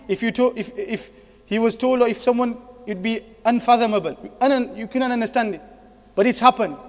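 High-pitched male preacher in an echoing hall. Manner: earnest, exhorting with repeated phrases and rhetorical questions. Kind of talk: sermon. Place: mosque hall. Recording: long echoing hall reverb.

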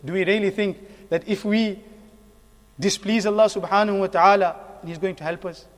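High-pitched male preacher in an echoing hall. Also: fairly even intonation, normal speed. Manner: earnest, exhorting with repeated phrases and rhetorical questions. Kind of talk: sermon. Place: mosque hall. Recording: long echoing hall reverb.

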